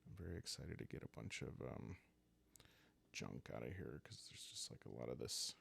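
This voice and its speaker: A man hosting a solo roleplay game playthrough, calm and soft-spoken, improvising the story and thinking aloud as he goes. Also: rumbling low, moderate pace, creaky, slightly rough. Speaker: host playing a solo journaling roleplay game.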